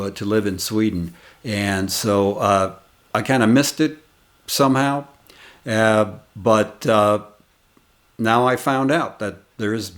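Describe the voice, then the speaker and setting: A man in his sixties, a comedian hosting his channel and casually telling a rambling, reminiscing story of his early days. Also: medium pitch. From United States. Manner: rambling, reminiscing, unhurried anecdotes.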